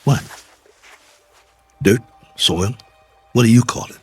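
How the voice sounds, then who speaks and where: An actor playing a stranded space traveler, weary but hopeful, halting and musing in a radio drama. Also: male voice, medium pitch, husky, no echo, faint music behind. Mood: gentle, hopeful. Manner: halting, musing, talks to himself.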